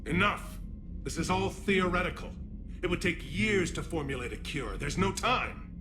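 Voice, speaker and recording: Higher-voiced, stern alien leader. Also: male voice, expressive, moderate pace, music in the background. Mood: impatient. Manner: authoritative, curt, formal. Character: stern, impatient male alien military leader. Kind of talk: video game voice acting.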